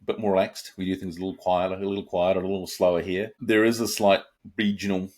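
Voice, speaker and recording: A middle-aged man with a New Zealand accent, a guest answering interview questions in a relaxed, reflective way. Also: sits low, fast talker. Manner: relaxed, reflective, with frequent restarts and asides.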